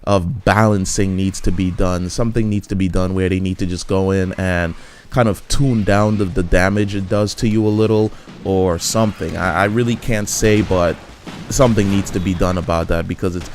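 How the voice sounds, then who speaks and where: A man's voice: low-pitched, fairly even intonation, moderate pace, a little hiss or hum. A man giving casual, rambling commentary over his own gameplay, often restarting his sentences. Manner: rambling, frequent restarts and fillers.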